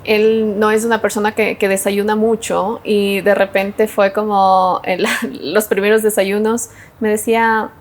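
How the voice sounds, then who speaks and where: A woman's voice: medium pitch, unhurried, slight background noise. A young Ecuadorian woman, a sommelier guest on a podcast, speaking in a casual, storytelling way and acting out quotes. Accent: Ecuadorian Spanish. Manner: warm storytelling, animated quoting, frequent laughs. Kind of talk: casual interview chat.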